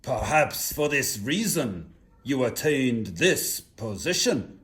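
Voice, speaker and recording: A man voicing an earnest, insistent sage who speaks deliberately in a rhyming children's puppet show. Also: medium pitch, sing-song, fairly bright tone, voice pushed down. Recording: music behind the voice.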